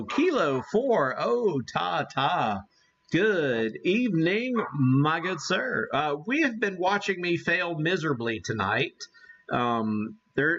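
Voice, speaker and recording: A man talks casually as he hosts a live demonstration. His delivery is slow and halting, as if working things out aloud, with a folksy, self-deprecating manner. The recording is somewhat dull and lo-fi. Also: a little high, fairly bright tone, a little hiss or hum. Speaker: host of a live ham radio demonstration. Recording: dull, muffled lo-fi sound.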